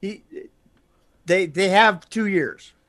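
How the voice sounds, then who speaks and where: High, bright-voiced joking man. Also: slow pace. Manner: emphatic, repeats words, jokey. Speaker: podcast co-host reviewing films. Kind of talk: casual chat.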